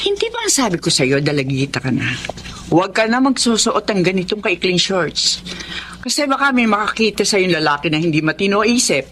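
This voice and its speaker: A woman acting a caring, protective mother in a radio drama, earnest and gently admonishing. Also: medium pitch, big pitch swings, a little fast, slight background noise.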